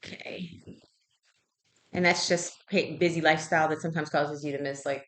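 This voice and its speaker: A woman's voice: low-pitched, fairly even intonation, moderate pace, fairly bright tone. A woman conducting a clinical intake interview as a health professional, conversationally checking and repeating answers. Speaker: health professional taking a patient history.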